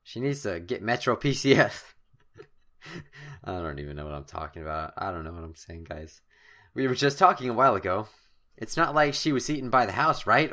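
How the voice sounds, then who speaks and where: A man's voice: mid-range voice, sharp tone. A man hosting a gaming video, reading game text aloud theatrically with jokey asides and the odd stumble over words. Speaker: host of a gaming channel. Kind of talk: let's play, reading game text aloud with joking commentary.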